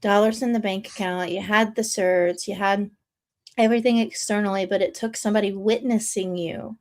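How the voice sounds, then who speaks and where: A woman's voice: mid-range voice, fairly even intonation, normal speed, a little mellow. Earnest woman of fifty, emphatic talker. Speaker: podcast host and interviewer. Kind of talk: reflective personal conversation.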